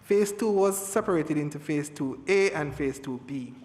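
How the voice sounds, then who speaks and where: A man, an official explaining things to a committee in a halting way, repeating words, in a reverberant space. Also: a little high, animated, darkish tone. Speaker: government official. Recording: reverberant hall.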